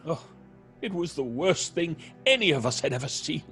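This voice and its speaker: A man playing a troubled theatre actor in an audio horror drama, speaking haltingly with dramatic pauses. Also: a little high, big pitch swings, vocal fry, fairly bright tone, soft background music, heavily acted. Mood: grieving, haunted. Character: troubled theatre actor, haunted by a past tragedy.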